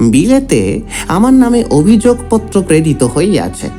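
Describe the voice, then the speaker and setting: A man's voice: high voice, wildly swooping pitch, a little slow, mellow, faint music behind, heavily acted. An actor playing an earnest sixteen-year-old male disciple, deferential and formal, in a horror audio drama. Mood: formal, grave. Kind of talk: dramatised audio story, formal letter reading.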